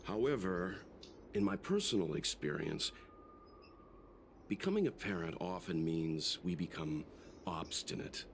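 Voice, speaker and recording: An actor playing a courteous, scholarly gentleman and tactful mentor in a video game, speaking in a polite, measured, formal way. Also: sits low, lively intonation, slight background noise. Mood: tactful, advising. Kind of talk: scripted video game dialogue. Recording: game music behind.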